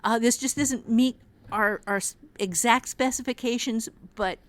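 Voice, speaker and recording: A woman's voice: a little high, a little slow, dry recording. An older woman co-hosting a casual chat, speaking in a blunt, encouraging way. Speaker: author, teacher and podcast co-host.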